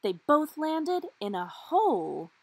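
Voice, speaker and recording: A woman's voice: sits high, very animated, takes it slowly, no room sound. A woman reading a children's storybook aloud expressively, with dramatic emphasis on key words. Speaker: storybook reader.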